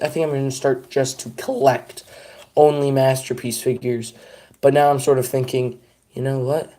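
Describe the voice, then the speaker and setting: A young man talking casually on a live stream, wandering from one thought to the next. His voice is dark and mellow. He pokes fun at himself as he chats with his viewers. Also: mid-range voice. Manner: rambling, self-deprecating, chats to viewers.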